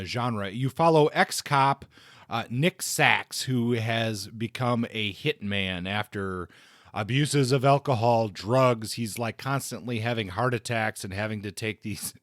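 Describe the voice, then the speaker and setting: A man hosting a podcast, reviewing things in a casual, conversational way with measured opinions. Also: medium pitch, a bit bright, no echo, clean studio sound. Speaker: podcast host.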